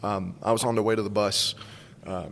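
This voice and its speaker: A man's voice: on the low side, steady tone, fairly quick, vocal fry, a bit bright, in a large hall, slight background noise. A man in his forties from Cuba, casually telling a personal story as a podcast guest. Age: 40s. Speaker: school staff member, podcast guest.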